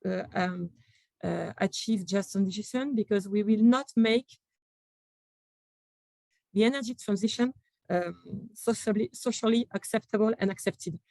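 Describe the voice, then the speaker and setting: A woman with a French accent giving panel remarks at a conference, her pitch sitting in a middle range. She talks in a halting way, full of fillers and restarts. Her voice comes through dry and close, with little room sound around it. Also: gravelly, darkish tone, no room sound, low-quality recording. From France. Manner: hesitant, many fillers and restarts. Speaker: panel speaker from a company.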